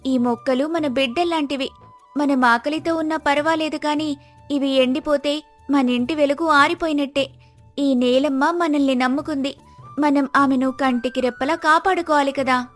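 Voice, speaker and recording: Gentle village wife, acted by a woman, high voice, earnest and respectful, for a storybook drama with faint music. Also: steady tone, moderate pace, lightly acted. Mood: concerned, earnest.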